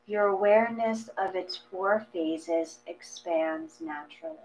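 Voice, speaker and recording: A woman reading a guided meditation from a script in a gentle, measured manner, leaving long pauses between phrases. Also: mid-range voice. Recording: dull lo-fi audio with mains hum.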